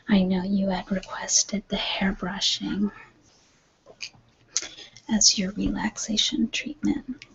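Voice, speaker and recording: A woman voicing a gentle retreat healer in a whispered ASMR roleplay, soft and reassuring. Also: mid-range voice, breathy edge, muffled recording, exaggerated voice. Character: gentle, soothing female retreat healer attending a client.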